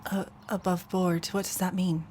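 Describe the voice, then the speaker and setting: Lady doing a naive young fantasy girl, pitched way up, amazed by everything, simple words. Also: flat delivery, normal speed, a little mellow, slight background noise, natural voice. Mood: puzzled. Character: naive, wide-eyed young northern woman full of wonder. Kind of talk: improvised tabletop roleplay.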